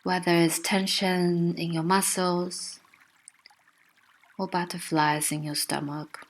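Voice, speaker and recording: A woman narrating a guided meditation with gentle, soothing, unhurried instruction. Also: lowish voice, flat delivery.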